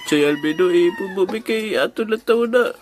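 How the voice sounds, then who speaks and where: A man playing a relaxed older scrap buyer who haggles in slow, drawn-out speech. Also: high voice. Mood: bargaining. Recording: noisy amateur recording.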